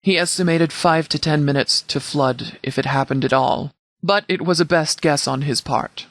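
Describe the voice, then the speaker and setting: A man's voice: fairly high pitch, a bit bright, dry recording. Man playing a formal sheriff, dry close sound. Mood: serious, measured. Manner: formal, measured, authoritative. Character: stern, formal sheriff and military commander. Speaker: sheriff and commander. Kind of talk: scripted game dialogue, mission briefing.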